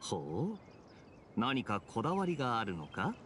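Suave young swordsman, expressive pitch. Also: measured pace, slight background noise. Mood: intrigued. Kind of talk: Japanese anime game voice acting. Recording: music behind the voice.

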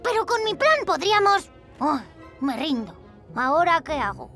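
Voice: very high voice, very animated, normal speed, full character voice. An actor voicing an earnest, plucky little boy lizard superhero in a children's cartoon, with a Castilian Spanish accent and an eager, exclamatory manner. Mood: discouraged. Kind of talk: animated children's cartoon dubbing. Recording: music behind the voice.

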